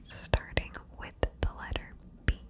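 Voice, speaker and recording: Slow whispering woman. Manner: slow soft whisper, coaxing and encouraging. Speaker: ASMR host guiding the listener. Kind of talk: whispered ASMR word-game session.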